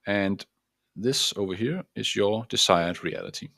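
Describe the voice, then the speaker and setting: A man's voice: on the low side, expressive, measured pace, gravelly, sharp tone, no echo, clean studio sound. A man from Denmark with a Danish accent, a coach giving an earnest self-help talk to camera, often restarting and searching for words while he explains and sketches a diagram. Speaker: transformative coach.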